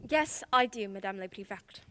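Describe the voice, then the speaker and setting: A woman playing a cool-headed, brisk female detective in a radio play. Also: mid-range voice, animated, fairly bright tone, no room sound, close to an everyday voice. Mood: calm. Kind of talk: radio play acting and narration.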